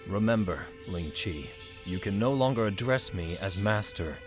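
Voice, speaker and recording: A man playing a stern, commanding ancient Chinese general in a fan motion comic, deliberate and authoritative. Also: low voice, lively intonation, soft background music.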